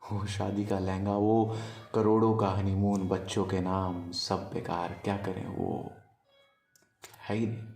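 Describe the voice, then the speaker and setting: A man performing a poetic love monologue as a storyteller, in a wistful, deliberate manner with pauses. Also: lowish voice, little pitch movement, a little mellow, roomy sound. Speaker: spoken-word storyteller. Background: music.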